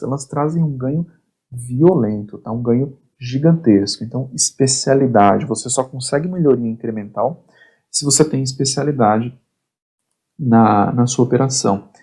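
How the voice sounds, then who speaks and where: Hoarse man lecturing. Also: mid-range voice, measured pace, warm tone. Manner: explains in short phrases, constant "tá?" check-ins, repeats words. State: getting hoarse. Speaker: instructor. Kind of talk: online course lecture.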